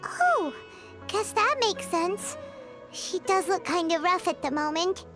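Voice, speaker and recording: An excitable little fairy girl for a video game, with an extremely high, squeaky, childlike voice. She chatters in exclamations and swoops widely in pitch, with music playing loudly behind her. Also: slow pace, heavily acted. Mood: curious, concerned. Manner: refers to herself by name, exclamatory. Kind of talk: video game character acting.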